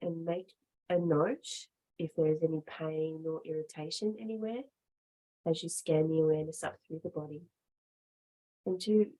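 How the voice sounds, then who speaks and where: A woman teaching yoga, guiding relaxation and meditation in short, measured phrases with long pauses. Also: on the low side, dark tone, low-quality recording. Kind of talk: guided relaxation and meditation instruction.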